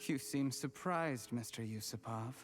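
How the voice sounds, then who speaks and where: An actor playing a resentful, calculating official in a video game, speaking in a formal, measured way. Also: male voice, medium pitch, close to an everyday voice. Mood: bitter, cold. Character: resentful, calculating male official turned cold schemer. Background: game music.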